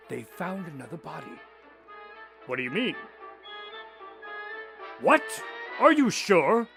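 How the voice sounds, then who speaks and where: An actor playing an earnest doctor and loyal detective's sidekick in an audio drama, speaking in a measured, articulate, conversational way. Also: male voice, on the high side, a bit bright, heavily acted. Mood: alarmed, grave. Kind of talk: audio drama dialogue and storytelling.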